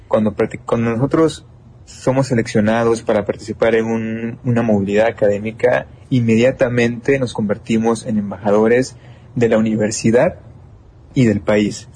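Earnest young Mexican man, lowish voice. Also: a little mellow, a little hiss or hum. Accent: Mexican Spanish. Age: young adult. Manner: earnest, rambling with many restarts and fillers. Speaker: engineering student guest. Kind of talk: interview answer.

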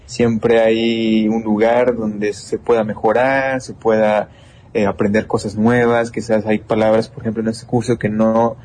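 A man's voice: fairly low pitch, little pitch movement, a little slow, darkish tone, a little hiss or hum. A young man with a Mexican accent, an engineering student answering interview questions earnestly, with many restarts and fillers. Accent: Mexican Spanish. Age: young adult.

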